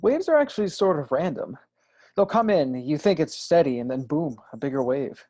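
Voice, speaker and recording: A man playing a shy, self-doubting man with a halting, earnest way of talking in a play table read. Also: a little high, expressive, darkish tone. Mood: awkward, earnest.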